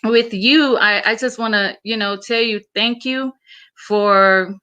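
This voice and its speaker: Slow, bright-toned woman from Samoa. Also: on the high side. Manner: earnest, emphatic, repeats words. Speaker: interview guest. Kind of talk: casual interview.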